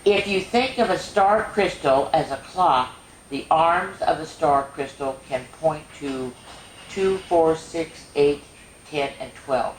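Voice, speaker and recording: A woman reading a children's science book aloud as a storyteller, explaining to kids with expressive wonder and chatty asides. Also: low voice, takes it slowly. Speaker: storyteller and show host. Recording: echoey room with faint noise.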